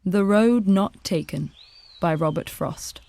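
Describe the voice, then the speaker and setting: A woman reciting a poem with measured, deliberate phrasing. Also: on the low side, vocal fry, mellow. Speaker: poetry reader. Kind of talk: poetry recitation. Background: music.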